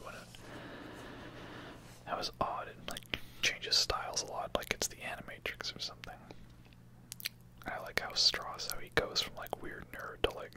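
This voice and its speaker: Breathy whisper, ASMR gameplay commentary, casual, rambling asides, streamer. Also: low-quality recording. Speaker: video game streamer.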